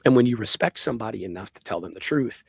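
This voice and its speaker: A man's voice: on the low side, animated, fast, vocal fry, breathy edge, dry recording. A man answering audience questions as a guest in an earnest, emphatic, conversational way, often checking in with "right?". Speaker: guest, startup co-founder.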